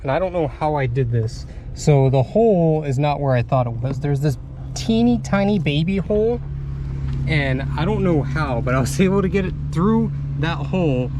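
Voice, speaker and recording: A young man casually narrating a how-to vlog while installing a radio in his pickup, relaxed and chatty with frequent pauses. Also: fairly high pitch, background noise.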